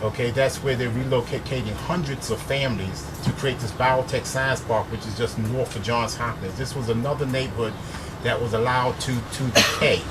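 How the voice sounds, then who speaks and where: A man, guiding a group on a bus tour in a folksy, emphatic way, tossing in "okay?" and "y'all", with a soft voice. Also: mid-range voice, fairly even intonation, moderate pace. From East Baltimore, USA. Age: 50s. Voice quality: soft voice, by his own account. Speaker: community activist and tour guide. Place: inside a moving school bus, windows down. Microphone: small handheld camera, with a little mic. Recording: loud traffic and bus noise.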